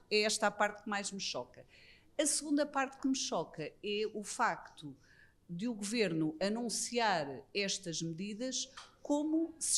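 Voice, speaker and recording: Portuguese woman lawyer, mid pitch, earnest and critical, restarts her phrases, handheld mic at a panel. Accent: European Portuguese. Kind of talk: conference panel discussion. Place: embassy conference room.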